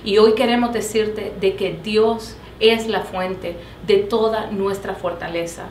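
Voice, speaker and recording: A woman's voice: medium pitch, fairly even intonation, slow pace, far from the mic. Woman host with echoey faraway sound. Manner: warm pastoral phrasing with fillers. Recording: echoey room, background noise.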